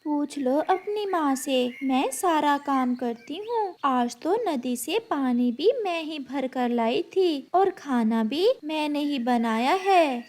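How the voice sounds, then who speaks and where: Woman acting a cartoon bird bride, young, very high, unhurried, haughty, lazy, two-faced. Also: lively intonation, a little mellow, slight background noise. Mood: falsely dutiful. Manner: haughty, complaining. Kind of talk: Hindi cartoon storytelling.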